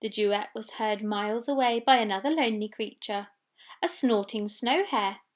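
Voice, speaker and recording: A woman's voice: mid-range voice, moderate pace. A woman reading a children's storybook expressively, with dramatic delivery of sound words. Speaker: story reader.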